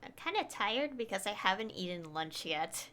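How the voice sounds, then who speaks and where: A woman's voice: medium pitch, big pitch swings, normal speed, pushed and bright. A woman chatting casually and hesitantly, half to herself, as she live streams a game. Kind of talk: casual live stream chat over gameplay. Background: game music.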